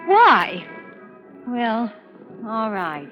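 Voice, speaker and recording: An actress playing a high-strung woman accused of murder, who answers defensively and breaks into shrill outbursts. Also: sing-song, very slow, heavily acted. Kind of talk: 1950s radio drama acting. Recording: dull lo-fi old radio broadcast with faint music.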